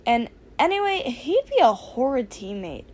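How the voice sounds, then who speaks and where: A woman voicing a sarcastic, dramatic teenage boy hacker in a casual, deadpan way for a fan fiction reading. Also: high-pitched, normal speed. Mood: exasperated, sarcastic.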